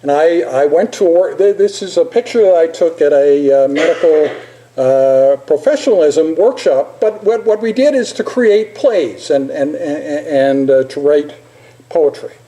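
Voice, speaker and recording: An older man giving an informal presentation as a lecturer, halting at times with repeated words and pauses. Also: a little high, animated, warm tone, poor audio. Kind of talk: informal slide presentation with poetry recitation.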